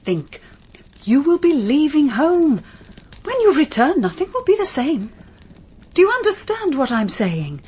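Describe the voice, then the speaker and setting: An actress playing a genteel middle-aged Victorian mother in an audio drama, with a measured, admonishing manner. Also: high-pitched, very animated, dark tone. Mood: worried, doubtful. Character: brisk, genteel Victorian mother, practical and gently sceptical. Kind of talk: period audio drama acting.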